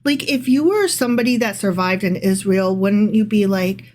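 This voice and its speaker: A woman's voice: mid-range voice, moderate pace, a little mellow. A woman co-hosting a casual podcast, bantering in a playful, self-deprecating way. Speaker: podcast co-host. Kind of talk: casual banter about a Bible reading.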